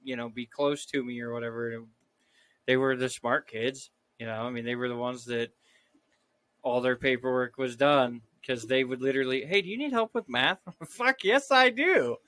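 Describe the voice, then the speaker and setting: Casting note: bright, forceful male co-host, crude and swearing, loose storyteller. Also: mid-range voice, moderate pace. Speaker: podcast co-host. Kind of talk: casual chat.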